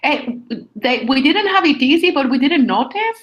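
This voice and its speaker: A woman with a Puerto Rican accent answering interview questions conversationally, often pausing to search for words and repeating phrases. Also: sits high, animated, fairly bright tone, highs cut off. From Puerto Rico. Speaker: interview guest, astronomer.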